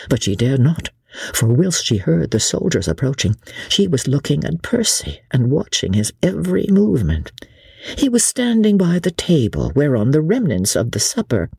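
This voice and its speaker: A woman's voice: deep voice, gravelly, mellow, breathy edge, no room sound, studio quality. A woman reading an audiobook as dramatic narration, in a theatrical, suspenseful manner.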